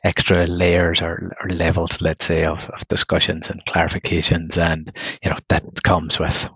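A man from Northern Ireland with a Northern Irish accent, a manager talking through his career in a podcast interview, measured and given to restarting his phrases. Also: low voice, monotone, fairly bright tone, breathy edge, dry recording, lo-fi sound. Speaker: guest manager.

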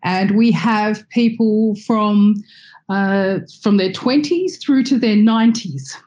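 A woman's voice: medium pitch, slow pace, darkish tone, dull audio. A woman, a guest on a podcast, answering in a friendly, chatty way with many ums. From Australia. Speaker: guest expert.

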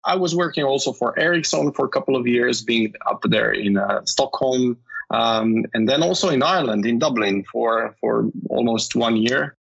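Man from Slovenia, rough husky timbre, hesitant interview talk, muffled remote sound. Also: medium pitch, moderate pace, fairly bright tone. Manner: hesitant, many fillers and restarts. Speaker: guest, telecom industry manager. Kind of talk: conversational interview.

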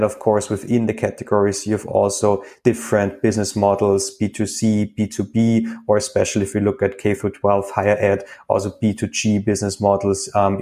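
Austrian man, 30s, podcast guest; lowish voice, thorough explainer with filler ums. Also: dark tone. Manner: explanatory, with frequent ums and repeated words. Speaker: guest, venture capital associate. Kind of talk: podcast interview.

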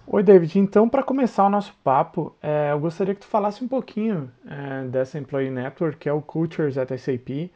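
A man's voice: fairly high pitch, brisk, few pauses, dark tone, highs cut off. A man hosting a podcast in a friendly, casual way, with many hesitation fillers. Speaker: podcast host.

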